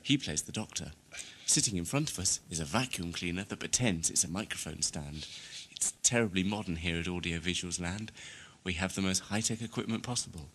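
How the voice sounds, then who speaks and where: A man's voice: deep voice, a little fast, few pauses, fairly bright tone. A British man speaking as producer and interviewer, giving a wry, tongue-in-cheek introduction. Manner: wry, jokey. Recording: clear background noise on tape.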